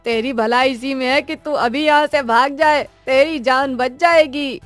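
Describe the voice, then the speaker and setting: A woman's voice: high voice, a little slow, no room sound, soft background music, voice pushed up. A woman voicing an agitated, crazed man who gives insistent, ominous warnings in a cartoon story.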